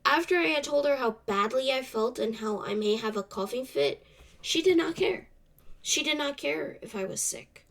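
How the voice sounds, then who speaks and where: A young woman hosting a solo podcast, slightly congested from a cold, speaking in a soft, halting way that trails off. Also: sits high, animated, creaky. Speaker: podcast host. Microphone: camera off to the side.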